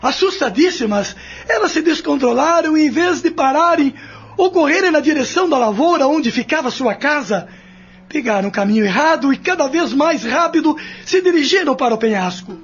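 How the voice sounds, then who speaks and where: A man's voice: extremely high pitch, lively intonation, normal speed, lo-fi sound. A man acting a distraught young wastrel who confesses tearfully in a courtroom audio drama. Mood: tearful, anguished. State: crying.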